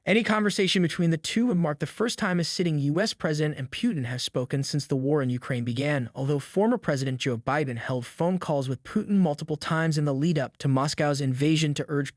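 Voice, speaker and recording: A man's voice: fairly high pitch, no echo, studio quality. A man reading a news article aloud as scripted narration.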